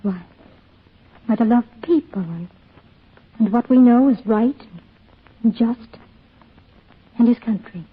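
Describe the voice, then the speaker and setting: A woman's voice: on the high side, slow pace, pauses often, husky, full character voice. A woman playing an anxious, protective mother in an old-time radio drama, earnest and pleading. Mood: worried, pleading. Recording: tinny, band-limited old radio recording.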